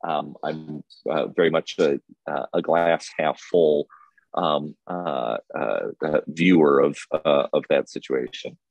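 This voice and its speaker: A man speaking off the cuff as a panel guest, halting and feeling for his words. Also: a bass voice, steady tone, darkish tone, dry recording, muffled recording. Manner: halting and hesitant, groping for words. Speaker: film festival programmer and panel guest. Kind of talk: unscripted panel conversation. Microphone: video call.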